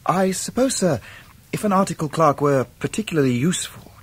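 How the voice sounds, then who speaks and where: An actor playing a polite, earnest, tentative young English gentleman in a radio drama. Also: a little high, expressive, brisk, slightly rough. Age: young adult.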